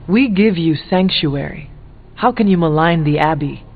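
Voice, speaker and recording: A man's voice: fairly high pitch, animated, moderate pace. A man playing a solemn, stoic young demon-hunting warrior in a video game, speaking earnestly and formally. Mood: offended. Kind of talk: scripted video game acting. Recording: thin phone-like band, music behind.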